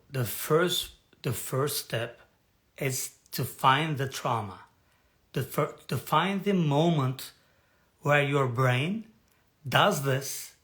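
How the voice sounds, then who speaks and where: A slow-speaking man gives a live talk to an online audience as a personal development coach. He halts often, restarts his sentences and searches for the right words. His pitch sits in an ordinary middle range. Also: fairly bright tone. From has lived in Turkey for decades.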